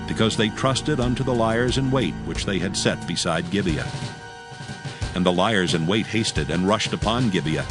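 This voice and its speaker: A man reading scripture as the narrator of a dramatized audio Bible, solemn and measured. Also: fairly low pitch. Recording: prominent background music. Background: music.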